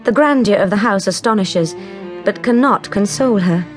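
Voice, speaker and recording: An actress as a composed, wry storyteller, narrating a period literary drama in a measured way. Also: female voice, mid-range voice, animated, lightly acted. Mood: composed, sympathetic. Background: music.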